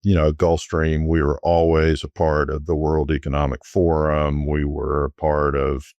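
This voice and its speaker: A middle-aged man, a guest recalling his years selling private jets, talking in a relaxed, rambling way with frequent restarts. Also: extremely low pitch, moderate pace, dry recording, muffled recording. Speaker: guest, former private jet sales executive. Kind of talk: conversational storytelling.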